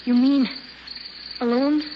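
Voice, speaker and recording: Earnest, high-voiced young boy. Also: steady tone, slow pace. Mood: anxious, tender. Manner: earnest, questioning. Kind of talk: radio drama acting. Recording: muffled, faintly noisy old radio drama.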